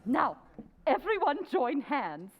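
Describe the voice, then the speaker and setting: An actress playing a bossy, pompous society hostess who fancies herself a medium, in old-time radio drama style with grand theatrical delivery. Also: female voice, high voice, big pitch swings, slow pace, dull audio, full character voice. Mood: bossy, fussy. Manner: imperious, theatrical, grandly intoning. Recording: echoey hall, live audience behind.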